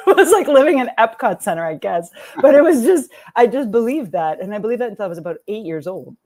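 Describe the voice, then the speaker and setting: A middle-aged woman from Texas who grew up speaking Lithuanian, telling her life story as a guest in a conversational interview, animated and laughing often. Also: fairly high pitch, fast. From Texas, USA. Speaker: guest, writer and nonprofit founder.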